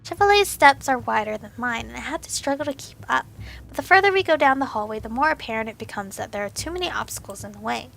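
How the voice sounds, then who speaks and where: A young woman hosting a let's play, reading a visual novel aloud with a hoarse, fading voice and casual asides. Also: fairly high pitch, animated, quick pace, a bit bright, soft background music, poor audio. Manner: casual asides between earnest narration. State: hoarse, losing her voice.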